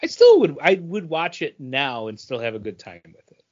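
A man's voice: a little high, wildly swooping pitch, darkish tone, a little hiss or hum. A man in his forties co-hosting a podcast, talking casually with plenty of um and uh fillers. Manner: unhurried, many um and uh fillers. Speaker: podcast co-host. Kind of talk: casual chat. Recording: slightly dull lo-fi audio.

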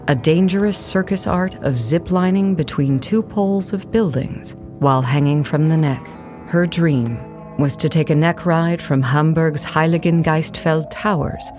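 A woman's voice: low voice, darkish tone. A woman narrating an audio play in a scripted documentary style.

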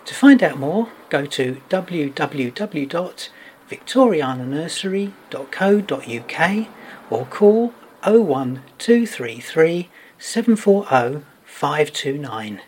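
A man's voice: fairly high pitch, sing-song, takes it slowly, a little mellow, slight background noise. A man reading a scripted sponsor message.

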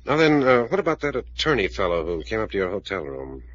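An actor playing a brisk, commanding, businesslike private investigator in a 1940s radio drama, probing with questions. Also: male voice, lowish voice, big pitch swings, a bit bright, dry recording. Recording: muffled old-time radio recording.

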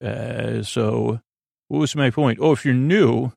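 Male sleep podcast host: low-key soothing rambler, gravelly creak, close dry sound, wandering and stumbling gently through sentences. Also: no room sound. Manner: meandering, halting, self-interrupting; lulling, soothing.

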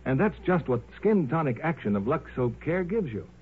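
A man working as a 1950s radio announcer, delivering scripted advertising with a polished, emphatic sales pitch. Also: sing-song, moderate pace, slightly rough. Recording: muffled 1950s radio recording.

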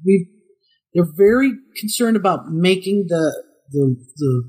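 A middle-aged man with a high tenor voice, a guest voice actor telling chatty anecdotes in an interview, laughing often and acting out the quotes. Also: expressive, a little slow, a little mellow, slightly rough. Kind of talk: casual interview storytelling.

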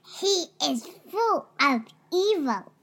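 Tiny high-pitched spiteful critter. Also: very animated, vocal fry, fairly bright tone, exaggerated voice. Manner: chirping, slow and deliberate. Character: small vicious woodland critters, threatening and spiteful.

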